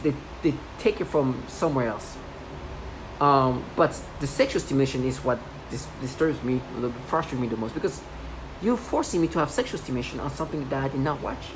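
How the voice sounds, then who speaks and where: A woman in her thirties in a rambling personal monologue, agitated and repetitive, with many restarts. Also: a bass voice. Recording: noisy home recording.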